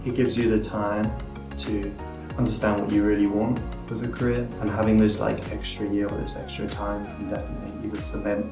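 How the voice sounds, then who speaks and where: A young man from England, a film student, giving a relaxed, slightly hesitant interview testimonial. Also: on the low side, a little fast. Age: young adult. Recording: roomy sound with music bed.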